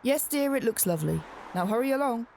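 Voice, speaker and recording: A woman's voice: fairly high pitch, expressive, fairly quick, gravelly, heavy background noise. A woman playing a weary, exasperated but patient mother in a radio drama, chiding as she speaks. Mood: hurried, placating.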